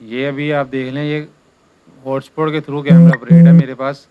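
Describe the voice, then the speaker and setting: A man with a clear, smooth voice talks through an informal how-to. He goes step by step like a working technician showing a job, and repeats his instructions as he goes. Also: mid-range voice, level tone, moderate pace, narrow, tinny audio. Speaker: electrician giving installation tutorial. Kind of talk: informal hands-on tutorial narration.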